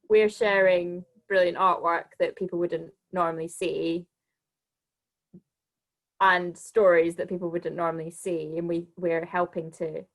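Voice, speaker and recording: Woman panellist, arts director, slightly low voice with vocal fry, conversational and halting. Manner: halting, restarts sentences often. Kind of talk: informal panel discussion answer.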